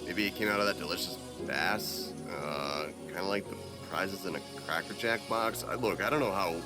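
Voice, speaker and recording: A man playing a bumbling, clueless man giving a testimonial in a halting, drawn-out, unsure way. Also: sits low, voice pushed down, natural voice. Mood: befuddled. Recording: loud music behind the voice.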